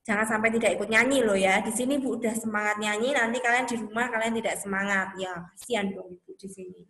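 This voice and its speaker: A woman's voice: mid-range voice, quick pace, a bit bright. A woman teaching a recorded school lesson, chatty and encouraging as she addresses her pupils directly. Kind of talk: recorded classroom lesson for children. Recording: echoey, roomy sound.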